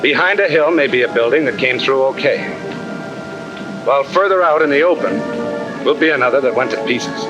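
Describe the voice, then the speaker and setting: An actor playing a tough-talking, slangy army sergeant who lectures in a 1950 military training film. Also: male voice, medium pitch, animated, a little fast, lo-fi sound, music in the background, close to an everyday voice. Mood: matter-of-fact. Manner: blunt, slangy, wisecracking. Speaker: instructor.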